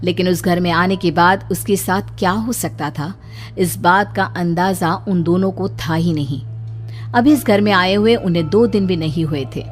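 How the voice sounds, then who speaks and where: Lower-pitched woman narrating horror. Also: normal speed, faint music behind. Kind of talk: scripted horror story narration.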